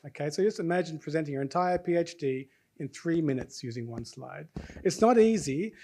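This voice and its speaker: A man's voice: fairly high pitch, animated, a little fast, dark tone, slight background noise. Chatty Australian man hosting an audience. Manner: chatty, keeps checking in with "right?", quizzes the crowd. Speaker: host of a student presentation event. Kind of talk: lively event hosting before an audience. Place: university lecture hall.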